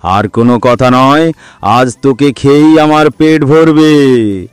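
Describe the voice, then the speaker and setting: A man voicing a hideous, greedy, menacing ogre ghost in a children's cartoon, with threatening, gloating, drawn-out delivery. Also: mid-range voice, clear, resonant tone, no room sound, close to an everyday voice. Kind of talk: children's cartoon villain acting.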